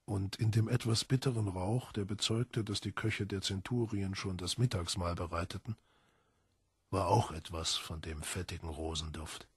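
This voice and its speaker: A man's voice: low-pitched, fairly even intonation, moderate pace, breathy edge, no room sound. A man reading literary narration for an audiobook in a dramatic, scripted style.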